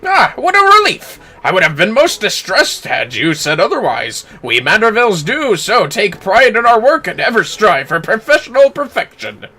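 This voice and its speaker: Pompous, forceful, effusive nobleman. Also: high-pitched, huge pitch swings, normal speed, few pauses, soft background music, pitched above the performer's own voice, exaggerated voice. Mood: relieved, proud. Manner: pompous, courtly, verbose. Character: proud, effusive, long-winded noble father. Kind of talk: video game dialogue read aloud.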